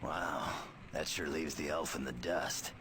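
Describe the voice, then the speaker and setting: A man voicing a gruff, sarcastic, superpowered bruiser in a video game, taunting in slangy speech. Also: very deep voice, a little slow, pushed and bright, a little hiss or hum, exaggerated voice. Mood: mocking, cocky. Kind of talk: video game cutscene voice acting.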